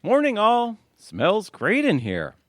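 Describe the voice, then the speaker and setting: An easygoing, self-satisfied suburban husband, played by a man in a radio comedy. His mid-pitched voice moves around a lot, recorded close and dry. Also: wildly swooping pitch, slow pace, no echo, exaggerated voice. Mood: breezy. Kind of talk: audio comedy acting.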